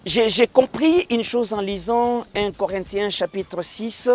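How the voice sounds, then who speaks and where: Man, pastor, middle-aged, from Congo Central, fairly high voice, earnest, emphatic then hushed. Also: a little slow, soft background music. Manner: earnest, swings from raised emphatic passages to low quiet blessings. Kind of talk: formal interview remarks, preacherly.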